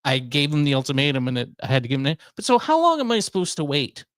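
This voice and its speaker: A man's voice: on the high side, wildly swooping pitch, quick pace, clean tone, dry recording, studio quality. A man hosting a radio show, talking in casual conversation. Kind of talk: casual chat, airing workplace grievances.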